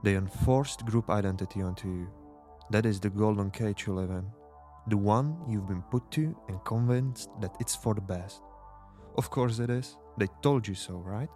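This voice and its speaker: A man's voice: lowish voice, moderate pace, warm tone, soft background music, natural voice. A man playing an earnest rebel radio host in a dystopian drama, speaking solemnly and rallying the listener in a scripted, intimate address. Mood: solemn, defiant.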